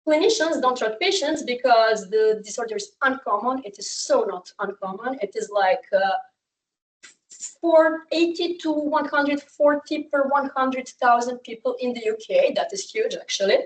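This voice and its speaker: A young woman whose first language is Serbian gives a research talk at a summer school. Her voice sits a bit high, and her delivery is candid and earnest, full of fillers and small restarts. She sounds somewhat distant from the microphone, as in a lecture room. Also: lively intonation, moderate pace. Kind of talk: conference talk.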